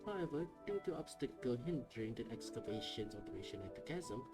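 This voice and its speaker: A man reads on-screen game text aloud in a plain mid-pitched voice, halting and restarting as he trips over names. Game music plays clearly underneath him. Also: sing-song. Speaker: gameplay video uploader.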